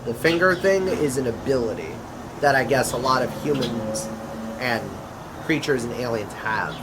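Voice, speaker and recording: A man acting a chatty interdimensional adventurer in a conversational audio drama, with a halting, hesitant delivery. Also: mid-range voice, background noise.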